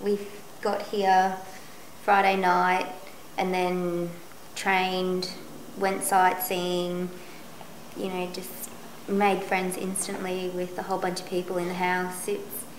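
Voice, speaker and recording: A woman's voice: lowish voice, monotone, slow pace, slight background noise. Australian woman, young, mid voice, cheerful short phrases, roomy sound. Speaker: interviewee. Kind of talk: casual interview answers.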